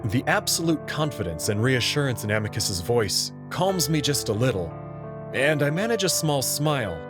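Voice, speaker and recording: A man reading a visual novel aloud in the first person, in an expressive, nervous way. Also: medium pitch, moderate pace. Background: background music.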